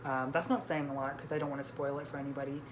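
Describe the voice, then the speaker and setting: Woman w a low voice, casual book vlog host, says like a lot, clear background noise. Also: level tone, racing. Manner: frequent "like" as filler. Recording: noisy room background.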